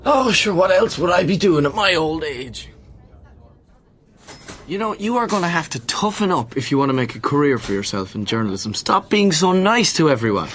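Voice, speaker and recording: A man's voice: on the high side, big pitch swings, moderate pace, fairly bright tone. An Irish actor playing a cocky, cynical male journalist in a naturalistic radio drama, with dry, sardonic banter. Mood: cocky, teasing.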